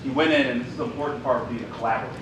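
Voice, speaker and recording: A man's voice: wildly swooping pitch, rapid-fire, creaky. Emphatic man in a big echoey room. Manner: emphatic, repeating himself. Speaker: writing group collaborator. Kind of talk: panel comment. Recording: echoey room from far off with rumble.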